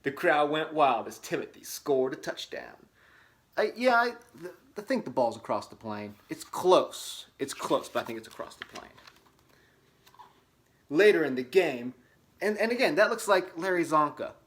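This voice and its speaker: A man with a slightly higher-pitched voice reads a children's storybook aloud in dramatic fashion. He drops into casual, wry asides with a tongue-in-cheek manner. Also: very animated, moderate pace, fairly bright tone. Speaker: host reading a children's book aloud with asides. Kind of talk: dramatic storybook reading broken by casual joking commentary.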